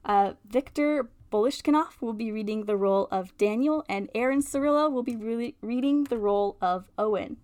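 A woman radio host reading out a show introduction in an informal, somewhat halting way. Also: on the high side.

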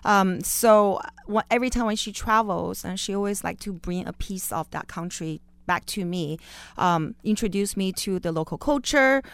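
Female interview guest, Chinese accent, native Chinese speaker. Crisp voice, dry sound, animated conversational storytelling. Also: medium pitch, moderate pace, dry recording. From Beijing, China. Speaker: guest, chef.

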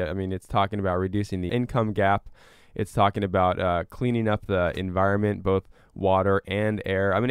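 A man speaking as an interview guest, explaining step by step in a measured, conversational way with careful qualifications. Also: lowish voice, smooth, no room sound, clean studio sound. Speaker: interview guest, climate advocate.

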